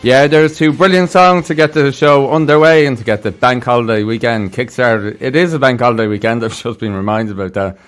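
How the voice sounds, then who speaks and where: A man's voice: mid-range voice, a little fast, few pauses. A man presenting a music radio show in a casual, chatty, rambling way from a studio. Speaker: radio host. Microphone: studio broadcast microphone.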